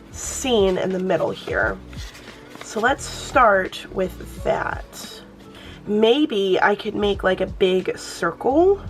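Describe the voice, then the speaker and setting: A young woman hosting a planner channel, chatting casually and thinking aloud as she crafts, her voice a bit off from a cold. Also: medium pitch. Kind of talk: casual plan-with-me chat.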